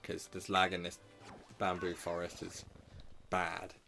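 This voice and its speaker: A deep-voiced man talks through a live gameplay stream, a little hoarse from a sore throat. He rambles loosely, restarting sentences and leaning on filler words. Faint game music plays behind him. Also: monotone, unhurried, sharp tone. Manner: rambling, self-correcting, lots of filler words. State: sore throat, slightly losing voice. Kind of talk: casual live gameplay commentary.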